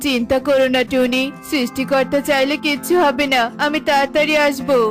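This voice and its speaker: A woman's voice: sits high, flat delivery, brisk, natural voice. A woman voicing a helpful, inquisitive male bird with a reassuring manner in a children's cartoon. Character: helpful, questioning male bird, devoted husband. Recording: music playing behind the voice.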